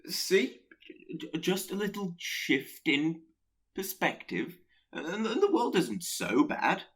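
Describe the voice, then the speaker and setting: A man's voice: sits high, big pitch swings, slow, fairly bright tone, heavily acted. An actor in a radio play playing a nervous, awkward Pestilence who hesitates and stammers as he secretly admires catastrophe. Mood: nervous, reassuring.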